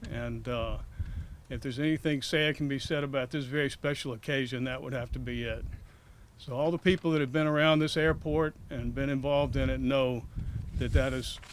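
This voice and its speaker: An older man giving formal, measured remarks of thanks as a chairman. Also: mid-range voice, steady tone, slight background noise. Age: 50s or older. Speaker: airport authority chairman.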